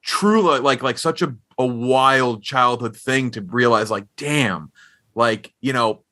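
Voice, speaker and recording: A man's voice: mid-range voice, slow, sharp tone. A man hosting a casual interview, asking informal, rambling questions like a fan. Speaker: podcast interviewer.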